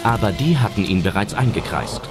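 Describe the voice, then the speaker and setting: Lively low-voiced male storyteller. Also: brisk. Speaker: narrator. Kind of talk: scripted audio drama storytelling. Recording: loud music under narration.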